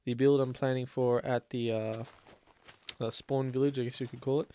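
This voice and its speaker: A man's voice: medium pitch, flat delivery, normal speed, warm tone. A man with a slight cold, chatting casually and rambling as he plays a building game. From Australia. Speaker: let's play host. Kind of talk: casual gameplay commentary.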